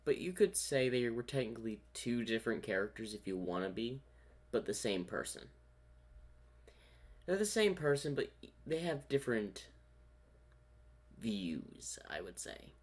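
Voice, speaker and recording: A man's voice: medium pitch, big pitch swings, a little slow, long pauses. A man hosting a reaction video, musing aloud in casual commentary. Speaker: reaction host.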